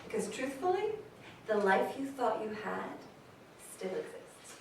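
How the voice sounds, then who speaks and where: An actress playing a thoughtful woman giving measured advice to parents in a staged reading. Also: fairly high pitch, sing-song, vocal fry, full character voice. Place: stage in a reverberant room, recorded from afar. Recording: echoey hall, far mic, faint noise.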